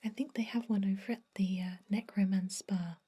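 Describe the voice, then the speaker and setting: A woman voicing a gentle, reassuring vampire dentist in a soft, breathy whisper for an ASMR roleplay. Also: mid-range voice, fairly even intonation, natural voice. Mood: gentle, advising. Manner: soothing, reassuring, unhurried.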